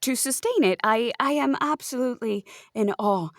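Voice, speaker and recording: A woman's voice: a little high, normal speed, no room sound, clean studio sound, lightly acted. A woman playing an eccentric investigative writer in a comic roleplay, earnest, hesitant and stammering. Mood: awed.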